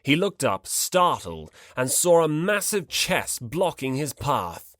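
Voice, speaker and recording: An expressive fiction narrator with a medium-pitched voice. The reading moves with lively intonation, scripted and story-like, in a close, dry recording. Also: slow pace, no echo. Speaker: audiobook narrator.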